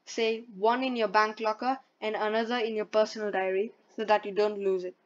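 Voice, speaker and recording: A woman's voice: medium pitch, level tone, a little fast. A woman presenting a step-by-step tutorial in a plain, instructional way, reading from a script. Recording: dull lo-fi voice-over.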